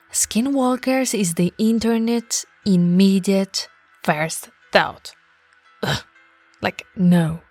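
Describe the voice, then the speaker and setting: Woman acting a slow, teasing nerdy monster girlfriend. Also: medium pitch, a little mellow. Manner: playful, teasing, often dropping into breathy whispers. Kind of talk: intimate roleplay audio acting.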